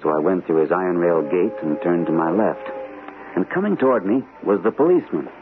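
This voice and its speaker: Weary captain, lowish voice, halting, apologetic, radio drama, tinny noisy old broadcast. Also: male voice, expressive, over music. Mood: weary, hesitant. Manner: hesitant, halting. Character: weary, apologetic male captain recounting an ordeal. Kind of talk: 1970s radio drama acting.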